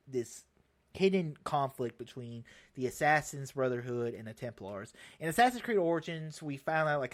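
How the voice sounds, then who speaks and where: A young man talks through a video game on his own show in a loose, rambling way. He keeps restarting sentences and breaks into sudden excited bursts. His pitch sits in the middle range for a man. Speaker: show host. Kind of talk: casual solo video game chat.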